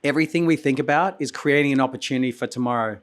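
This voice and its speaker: A man with an Australian accent, hosting a conference and giving a keynote speech while losing his voice, coughing now and then, energetic and restarting phrases. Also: medium pitch, rapid-fire. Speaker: conference host. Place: conference hall stage.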